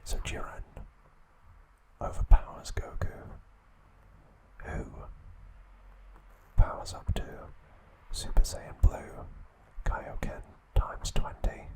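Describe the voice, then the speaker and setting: Slow whispering man, ASMR narrator. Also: rough recording. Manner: soft, unhurried, deliberate whispering. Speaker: ASMR host.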